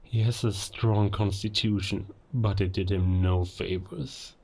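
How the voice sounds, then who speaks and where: An actor playing a proud, menacing werewolf villain in a cinematic audio roleplay, speaking deliberately as a gloating king of beasts. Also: fairly low pitch, fairly even intonation, vocal fry, darkish tone. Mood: gloating menace. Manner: slow, deliberate, menacing, grandiose.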